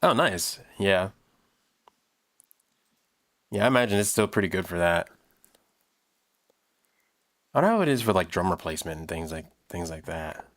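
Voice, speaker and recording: A man chatting casually on a livestream while he works, thinking aloud in a halting, rambling way with repeated phrases. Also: low-pitched, very animated, a bit bright. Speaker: livestream host and audio drama producer.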